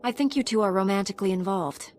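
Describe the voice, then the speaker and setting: An actress playing a composed, frank young Jedi apprentice in a video game. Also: female voice, medium pitch, fast, darkish tone, close to an everyday voice. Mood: teasing. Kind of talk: scripted video game acting.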